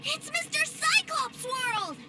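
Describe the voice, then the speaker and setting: A woman voicing an excitable, innocent little boy in a video game, gushing and wide-eyed about the world. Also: very high-pitched, slow, exaggerated voice, rough recording. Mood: excited, awed.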